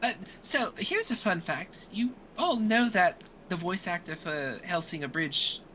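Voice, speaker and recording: A woman hosting a casual podcast, her voice pitched low but bright and animated. She drops into whispered asides, with a faint hiss in the background. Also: a little slow, low-quality recording. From a metropolitan area. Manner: whispers asides, laughs often. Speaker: podcast host. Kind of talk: casual chat.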